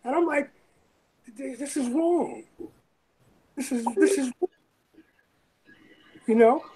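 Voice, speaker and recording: A woman from Brooklyn with a raspy voice, talking in a rambling, emphatic way in an interview, often repeating phrases. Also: sits high, slow, crowd noise. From Brooklyn, New York. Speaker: interviewee, former firefighter and EMT. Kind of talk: casual interview talk.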